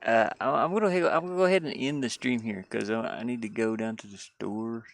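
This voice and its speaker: A man's voice: medium pitch, brisk, creaky. A man chatting casually on a livestream through a headset, thinking aloud in a rambling, musing way and often questioning himself. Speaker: live streamer learning game design.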